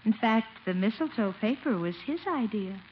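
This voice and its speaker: A woman's voice: a little high, animated, normal speed, natural voice. An actress playing a refined, sweet, playfully coy lady being courted in a 1950s radio comedy. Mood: sweetly teasing. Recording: muffled old radio broadcast, faint noise.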